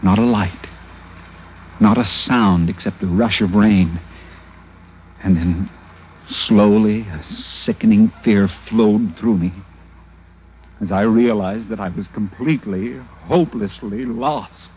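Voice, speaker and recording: An actor playing a frightened, polite lost tourist in 1940s radio drama style, stammering and hesitant. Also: male voice, fairly low pitch, slow pace, long pauses, breathy edge. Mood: fearful, tense. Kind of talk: 1940s radio drama acting, first-person narration. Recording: dull old radio broadcast with music.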